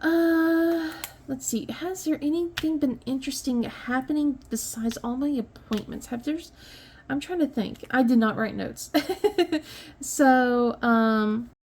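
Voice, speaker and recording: A woman's voice: high-pitched, slow, a little mellow, slight background noise. Chatty, sniffly woman vlogger. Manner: rambling, many fillers and restarts, light laughs. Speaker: crafting vlogger. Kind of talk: casual chat while diamond painting.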